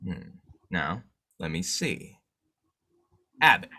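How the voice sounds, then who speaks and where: Man playing a deliberate, scheming vigilante newspaper boss. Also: sits low, big pitch swings, bright, forceful tone, heavily acted. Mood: scheming, musing. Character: shrewd, determined newspaper boss and secret vigilante. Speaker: actor in an old-time radio drama revival. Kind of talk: 1940s radio acting.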